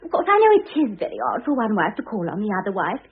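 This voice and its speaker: An actress playing an affectedly innocent, self-absorbed young second wife in a classic radio drama, with a gushing, theatrical, wheedling manner. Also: female voice, medium pitch, very animated, fast talker, breathy edge, phone-quality sound, exaggerated voice. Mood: earnest confiding. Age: young adult. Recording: thin band-limited old radio recording.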